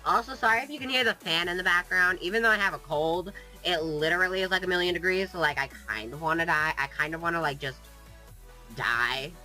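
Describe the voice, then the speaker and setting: Bright, sniffly vlogger with a cold. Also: medium pitch, a little fast, faint music behind. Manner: playful, jokey, sarcastic, drifts off topic. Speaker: gaming YouTuber. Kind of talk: casual rambling vlog chat.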